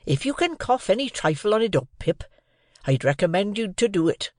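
A man's voice: high voice, very animated, fairly quick, darkish tone, dry recording, studio quality, pitched above the performer's own voice. A man voicing a kindly, simple country man for an audiobook, anxious and solemn, with rustic, uneducated phrasing. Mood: aghast, remonstrating.

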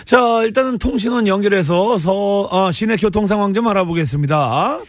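A man's voice: high-pitched, normal speed. A man hosting a radio show, teasing his co-hosts in casual, playful banter from a studio.

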